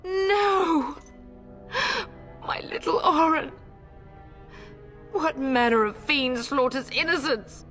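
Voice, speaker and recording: High-voiced, fierce noblewoman mother. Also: takes it slowly, pauses often, a bit bright. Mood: grief, horror. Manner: commanding, earnest, formal. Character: fierce, grieving noblewoman mother of a besieged castle. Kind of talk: dramatic fantasy video game acting. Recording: dramatic music behind.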